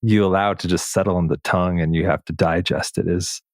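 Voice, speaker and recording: A man's voice: sits low, fairly even intonation, quick pace, no echo, studio quality. A man hosting a reflective conversational interview in an earnest, affirming manner. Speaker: podcast host.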